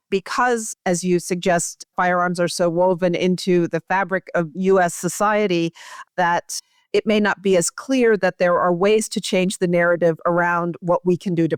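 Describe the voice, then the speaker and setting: A woman hosting a medical podcast interview, speaking in an articulate, measured and formal way. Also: on the low side, clear, resonant tone, no echo, studio quality. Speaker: podcast host, medical journal editor.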